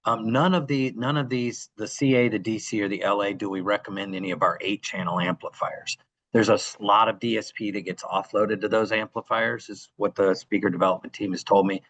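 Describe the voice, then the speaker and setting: A man's voice: fairly low pitch, steady tone, fairly quick, fairly bright tone, muffled recording. A man co-presenting a technical class, adding practical advice in a casual, conversational style.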